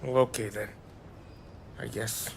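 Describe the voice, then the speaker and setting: An actor playing a drunk, rowdy race fan in a video game, slurring in a sing-song way. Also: male voice, fairly low pitch, fairly even intonation, slow pace, vocal fry, heavy background noise. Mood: drunken.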